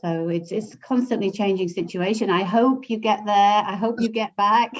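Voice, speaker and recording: A woman on a panel discussion, talking in an animated way and often repeating words as she thinks. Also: medium pitch, a little slow, highs cut off. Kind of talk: video call panel discussion.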